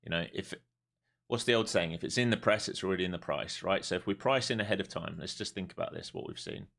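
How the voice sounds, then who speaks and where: A man's voice: deep voice, fast, vocal fry, fairly bright tone. A man, chatting casually and bluntly while trading live on stream. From Europe. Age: 40s. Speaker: livestream day trader. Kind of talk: live market commentary and chat.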